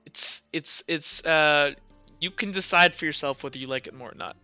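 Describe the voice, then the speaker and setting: A man's voice: fairly high pitch, moderate pace, pushed and bright, smooth, dry recording, muffled recording, soft background music. A man improvising narration as game master of a tabletop game.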